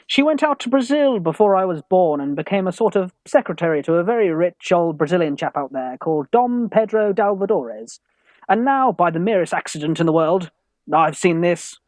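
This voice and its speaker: Man as lovelorn young English student in radio farce: timid, polite, high-pitched, very animated, hesitant. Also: moderate pace, no echo. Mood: plaintive. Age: young adult. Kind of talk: theatrical radio farce acting.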